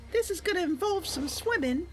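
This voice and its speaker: A woman's voice: extremely high pitch, lively intonation, brisk. A woman giving casual gameplay commentary and narration as the host of a roleplay series. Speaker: gamer hosting a roleplay series.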